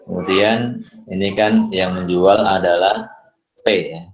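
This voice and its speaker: A middle-aged man giving a recorded accounting lesson, working through problems step by step at an unhurried pace and often filling pauses with "ya" and "eh". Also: low voice, fairly even intonation, slight background noise. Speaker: accounting lecturer. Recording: dull lo-fi recording.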